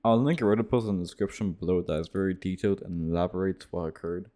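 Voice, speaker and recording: A man's voice: deep voice, quick pace, dark tone. A man narrating casual, scripted video game commentary in an offhand, irreverent way. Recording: music behind the voice. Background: guitar music.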